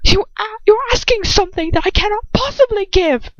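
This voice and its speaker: Woman acting an intense, bitter male villain, high-pitched. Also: fairly quick, no echo, lo-fi sound. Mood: desperate fury. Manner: contemptuous, intense. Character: bitter, anguished, menacing adult male villain lover.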